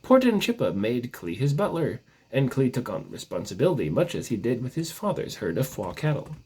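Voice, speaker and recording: Husky man reading with very lively pitch. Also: a little fast. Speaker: storyteller reading aloud. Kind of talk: amateur storybook narration of a mock legend.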